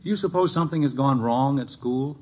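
A man's voice: medium pitch, fairly quick, husky, close to an everyday voice. An actor playing a well-meaning, easily exasperated middle-aged American father in a 1950s radio sitcom, earnest and blustering. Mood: puzzled concern. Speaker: actor in a family situation comedy. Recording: muffled, noisy old radio recording.

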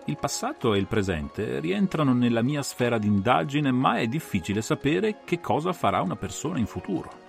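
A man's voice: mid-range voice, sing-song, normal speed, creaky, soft background music. A man playing a composed, analytical detective who lays out a case with assured, methodical, theatrical phrasing in a dramatised audiobook.

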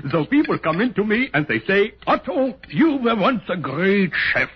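A jolly, very husky butcher. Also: male voice, high-pitched, very animated, a little slow, faint music behind, full character voice. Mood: jovial. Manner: chuckling, chatty. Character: jolly immigrant male butcher, former great chef. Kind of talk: radio commercial character acting. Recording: muffled old radio broadcast.

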